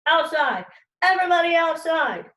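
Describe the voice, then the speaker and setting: A woman's voice: very high voice, big pitch swings, normal speed, pushed and bright, echoey room, recorded from a distance, pitched above the performer's own voice, exaggerated voice. A woman voicing a firm, protective male schoolteacher with a commanding delivery, as in a storybook read-aloud. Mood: urgent.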